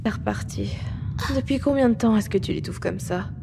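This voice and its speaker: A woman playing a caring, attentive monster-girl nurse in a French roleplay ASMR, speaking in a soothing, hushed way. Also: mid-range voice, huge pitch swings, moderate pace, vocal fry, noisy background. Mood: exasperated.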